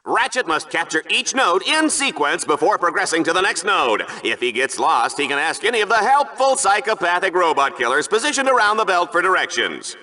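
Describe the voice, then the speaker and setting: A man's voice: high voice, big pitch swings, a little fast, few pauses, pushed and bright, full character voice, rough recording. A man voicing a smug, cowardly show-off arena commentator in a video game, theatrical and sarcastic in an over-the-top game show style. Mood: showy, smug. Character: smug, hammy male sci-fi arena sportscaster, cowardly show-off. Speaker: sports commentator.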